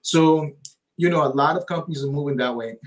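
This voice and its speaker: A man's voice: fairly high pitch, a little fast, low-quality recording. A man whose first language is Spanish, a realtor talking eagerly in a casual interview and often tagging his points with "right?". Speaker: realtor guest.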